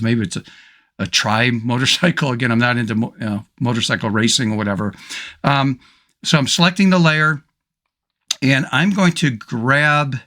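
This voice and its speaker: A middle-aged man, slightly hoarse, talks through a photo edit in a relaxed way, full of little ums and restarts. His voice sounds bright and forward. He records at a desk mic in a home studio. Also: mid-range voice. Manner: relaxed, thinking aloud, frequent ums and restarts. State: slightly hoarse, clearing throat. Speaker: photo editing tutor. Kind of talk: screen-recorded software tutorial.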